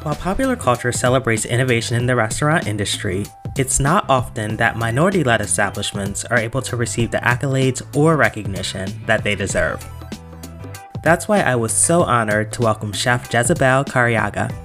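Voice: mid-range voice, expressive, brisk, fairly bright tone, faint music behind. A podcast host opening the show from a script and then interviewing a guest with an upbeat, eager manner. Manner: upbeat, enthusiastic.

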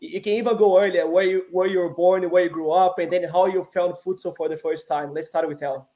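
High-voiced enthusiastic host. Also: male voice, fairly even intonation, fairly quick, dark tone. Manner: enthusiastic, steers the conversation with questions. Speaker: podcast host. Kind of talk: podcast interview conversation.

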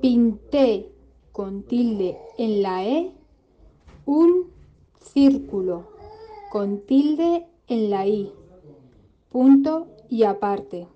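A woman's voice: on the high side, lively intonation, extremely slow, pauses often. A woman reading a spelling dictation, spelling out each accent mark. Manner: spells out accent marks word by word. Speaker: dictation reader. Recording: dull lo-fi recording.

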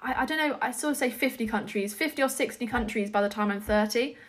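A young woman hosts a relaxed podcast chat. Her voice sits a touch high, and she talks in a chatty, easygoing way with frequent small laughs and fillers. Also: fast talker. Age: 20s. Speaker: podcast host. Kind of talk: casual chat.

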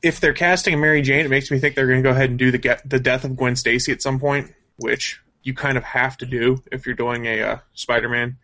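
A man's voice: mid-range voice, steady tone, brisk, few pauses, sharp tone. A man co-hosting a show, chatting casually and giving his opinions as a keen fan. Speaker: co-host of a gaming and comics news show. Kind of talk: casual chat about comics and cartoons.

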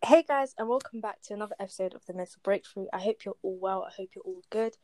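A woman's voice: mid-range voice, fast talker, darkish tone, dry recording, faint music behind. Casual woman hosting, close dry sound. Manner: informal, conversational. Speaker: podcast host.

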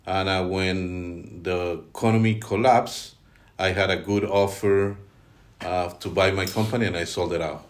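A middle-aged Colombian man with a Colombian Spanish accent and a very low voice, answering podcast questions. He talks haltingly, filling gaps with "uh" and restarting his sentences. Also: steady tone. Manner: halting, many fillers and restarts. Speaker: guest, team leader. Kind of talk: podcast interview.

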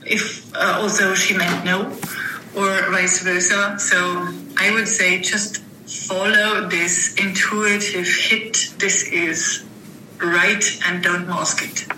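Lady, super bright voice, slow and steady, pauses a lot, echoey room. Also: fairly low pitch, slight background noise. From Germany. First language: German. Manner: thoughtful, halting, with restarts. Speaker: guest, author and mentor. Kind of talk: interview answers.

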